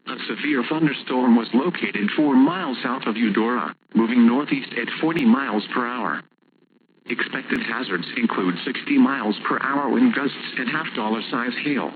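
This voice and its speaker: A man's synthetic voice reading a formal emergency weather bulletin in a measured, impersonal way over the radio. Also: medium pitch, expressive. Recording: noisy, narrow-band weather radio broadcast.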